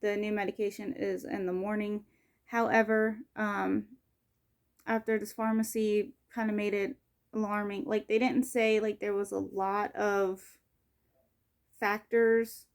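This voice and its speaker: A woman's voice: mid-range voice, flat delivery, unhurried. Rambling young woman vlogging casually. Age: 20s. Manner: rambling, heavy use of "like" and "um", frequent self-corrections. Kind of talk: casual personal vlog.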